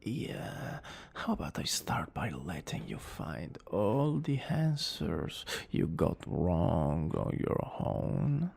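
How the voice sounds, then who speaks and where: Man acting a cocky, creaky-voiced young villain boyfriend. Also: sits low, very animated, slow, a little mellow, heavily acted. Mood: bragging. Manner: smug, theatrical, teasing. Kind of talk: romantic ASMR roleplay acting.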